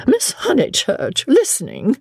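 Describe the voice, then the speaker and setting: Lady narrator doing a posh-silly older english woman, high swoopy voice, gushy and bossy, audiobook character. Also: wildly swooping pitch, slow, a little mellow, no room sound, studio quality, exaggerated voice. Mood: cross, bossy. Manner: gushing, exclamatory, gasping with mirth. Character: vivacious, mocking, giggling older English lady, bossy and theatrical.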